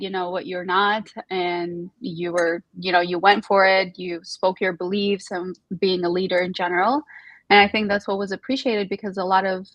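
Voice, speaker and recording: Woman, podcast co-host, friendly chatter, dry close sound, mid pitch. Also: no echo, low-quality recording. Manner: friendly, chatty with filler likes. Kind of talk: casual chat.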